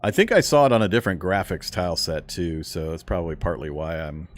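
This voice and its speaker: A man's voice: deep voice, animated, fast talker, slight background noise. A man streaming a video game, chatting casually and answering viewer questions with a fairly strong speaking voice. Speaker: gaming streamer. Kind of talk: casual live-stream chat while gaming.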